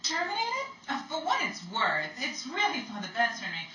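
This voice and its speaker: A woman acts out a cheerfully overconfident young Hollywood starlet in old time radio style. The voice is high and bright, with hugely animated, exclamatory swings. It sounds theatrical and a little haughty, in a roomy recording. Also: moderate pace, distant mic, exaggerated voice. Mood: breezy. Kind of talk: 1940s old time radio comedy acting. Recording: echoey room, away from mic.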